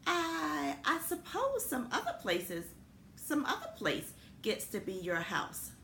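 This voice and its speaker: Storybook role: childlike, earnest talking school building, acted out by a woman, lifted pitch, echoey room. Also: wildly swooping pitch, takes it slowly, creaky, fairly bright tone, exaggerated voice. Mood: unsure. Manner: tentative, innocent, earnest. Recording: echoey room with noticeable background noise.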